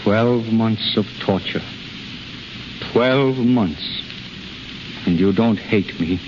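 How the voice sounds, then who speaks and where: A man's voice: lowish voice, very slow. An actor in a 1930s radio drama playing a proud, tormented healer, urgent and commanding, often repeating his words. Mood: bitter. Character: intense, proud, tormented male self-taught healer. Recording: noisy, dull 1930s radio recording.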